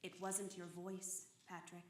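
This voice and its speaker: A woman's voice: lowish voice, level tone, a little slow, a little mellow, lightly acted. A woman playing a distraught, accusing wife, melodramatic and emphatic, in a live old-time radio drama. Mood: uneasy. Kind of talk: live 1940s-style radio drama acting. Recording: echoey live stage, music clearly behind.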